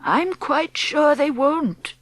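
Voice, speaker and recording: A woman doing a hushed, whispery old grandmother. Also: sits high, expressive, dry recording, voice pushed up. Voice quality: soft whispery voice. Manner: slow and hushed. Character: elderly grandmother, gentle, calm and wise.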